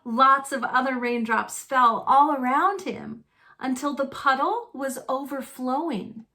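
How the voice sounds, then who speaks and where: A woman reading a children's picture book aloud in a deliberate storytelling manner, phrased simply for young listeners. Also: high voice, pushed and bright. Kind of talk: children's read-aloud narration.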